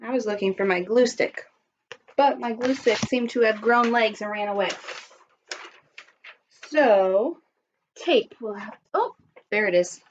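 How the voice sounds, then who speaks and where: A woman's voice: a little high, unhurried, long pauses, highs cut off, slight background noise. A woman primary school teacher speaking expressively and instructively to young children in simple phrasing, as in a read-aloud lesson.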